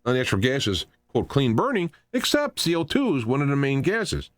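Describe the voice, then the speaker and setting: American man, middle-aged or older, talk radio commentary, emphatic, very lively intonation, dry studio-like sound. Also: mid-range voice, fairly quick, no echo. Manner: animated, argumentative, emphatic. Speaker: radio talk show host. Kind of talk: unscripted political commentary rant.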